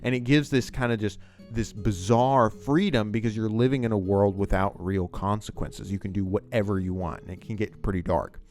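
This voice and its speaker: A man's voice: on the low side, steady tone, moderate pace, darkish tone, soft background music. A man hosting a video essay, explaining things in a loose, conversational and emphatic way. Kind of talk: casual, unscripted explanatory commentary on TV writing.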